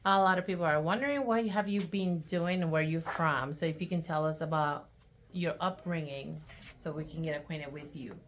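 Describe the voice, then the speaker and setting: Woman podcast host, deep for her sex, polite questioner, faint background noise. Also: normal speed. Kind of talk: interview questions.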